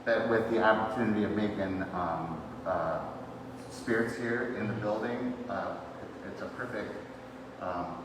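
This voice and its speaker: A man's voice: lowish voice, a little slow. A man from Illinois, a distillery owner, telling stories in a friendly way with many fillers. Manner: friendly, storytelling, hesitant fillers. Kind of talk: casual interview answers. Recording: echoey hall from far off with noise.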